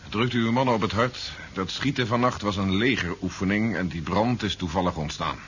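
A man's voice: lowish voice, brisk, a bit bright, close to an everyday voice. A man acting as an army general in a vintage seventies radio drama. He is brusque, commanding and pragmatic, with an air of urgency. The recording is dull and noisy. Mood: calm, firm. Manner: brusque, authoritative. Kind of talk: 1970s radio play acting.

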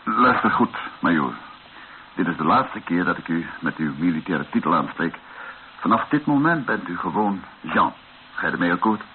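Low-voiced, earnest wartime hero. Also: male voice, expressive, normal speed, narrow, tinny audio. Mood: firm. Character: earnest, resourceful man, hero and narrator of war story. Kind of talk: radio drama acting. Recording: band-limited old radio recording, faint noise.

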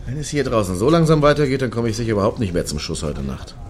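A man acting in a satirical radio play as a brash, opinionated middle-aged club-goer with slangy, sarcastic talk and a gravelly voice. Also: medium pitch, sing-song, fast, natural voice. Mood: grumbling, lewd. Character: brash, randy, opinionated man queuing outside a Berlin club.

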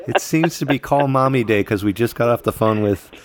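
A man's voice: mid-range voice, a little fast. A man hosting a casual podcast, teasing and playful as he questions family members. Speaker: podcast host.

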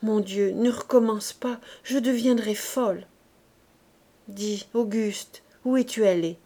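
A woman's voice: medium pitch, slow, mellow. A woman voicing a young, distressed, worn-out mother who pleads and argues with rising emotion. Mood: anxious pleading.

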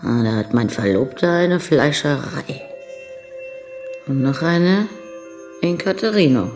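An actress playing a brash, world-weary young bride-to-be who is coquettish, blunt and provocative, in a radio crime drama. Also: female voice, sits low, animated, slow, darkish tone, heavily acted. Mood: bitter, disgusted. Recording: studio radio drama with music underneath.

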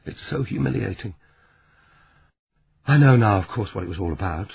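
A man voicing a grieving, bitter young woman of twenty-nine, speaking softly and quietly. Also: lowish voice, expressive, normal speed, creaky, husky, no room sound, full character voice. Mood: hurt, humiliated. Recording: tinny phone-like sound.

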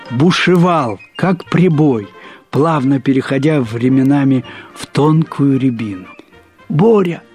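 A man reads a literary story aloud in the first person, expressively, with deliberate phrasing, drawn-out words and pauses. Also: medium pitch, a little mellow. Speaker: narrator reading a short story aloud.

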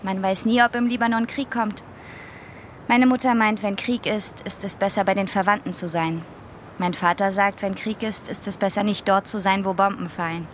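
A woman's voice: medium pitch, steady tone, normal speed, a little hiss or hum, natural voice. An actress playing a candid, proud teenage girl in a radio drama, with a direct, youthful way of talking. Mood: candid, guarded.

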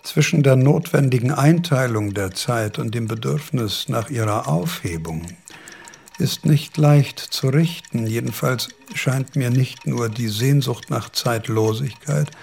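A gravelly, musing old philosopher. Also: male voice, medium pitch, unhurried, a little mellow. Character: aging male philosopher, absent-minded and pedantic. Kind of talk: radio play acting.

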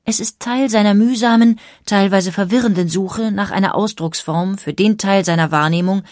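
A woman narrating a scripted biographical documentary in a measured, precise way. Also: medium pitch, darkish tone, no room sound, studio quality.

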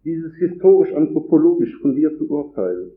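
A man with a cold gives a formal academic lecture at a conference, reading long, measured sentences from a script. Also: fairly high pitch, very animated. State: has a cold. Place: conference hall. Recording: echoey hall over a tinny, phone-like line.

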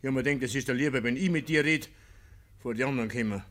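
A man's voice: mid-range voice, racing, a bit bright, close to an everyday voice. Village neighbour , male actor: Bavarian dialect radio drama, reluctant, conciliatory, apologetic, mid-range voice. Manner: hesitant, conciliatory.

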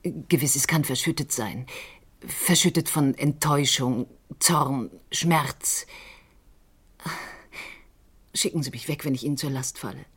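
Husky, low-voiced troubled woman. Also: exaggerated voice. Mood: grave, urgent. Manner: intense, deliberate, confiding. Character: troubled stage actress, grieving sister convinced of murder. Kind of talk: German radio crime drama acting.

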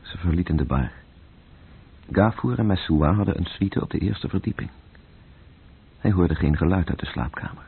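Detached radio-play narrator, gravel-husky and sombre, over narrow muffled vintage sound. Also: male voice, low-pitched, expressive, normal speed, pauses often, heavily acted. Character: sombre male storyteller, detached and literary. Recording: muffled, phone-like old radio recording.

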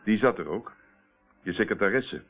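An actor playing a steady, probing man who confronts a murderer in a radio play, speaking in a deliberate, controlled, accusing way. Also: lowish voice, soft background music, lightly acted. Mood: matter-of-fact. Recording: tinny, narrow-band old radio recording.

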